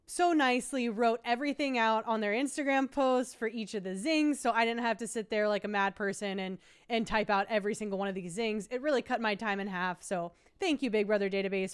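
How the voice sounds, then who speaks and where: A woman's voice: mid-range voice, normal speed, clear, resonant tone. A woman co-hosting a casual recap podcast, chatty and drily teasing. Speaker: podcast co-host. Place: studio.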